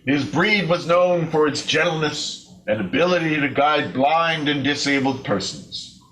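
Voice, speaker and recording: A man reading a scripted story aloud as a readers theater performer, deliberately and with comic emphasis. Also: a little high, animated, fairly bright tone, rough recording.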